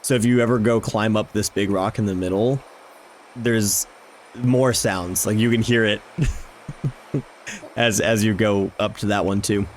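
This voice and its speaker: A man's voice: fairly low pitch, fairly even intonation, measured pace, pauses often, slight background noise. A man giving casual, informal game commentary with an enthusiastic manner. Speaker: video game vlogger, host. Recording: music behind the voice. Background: game background music.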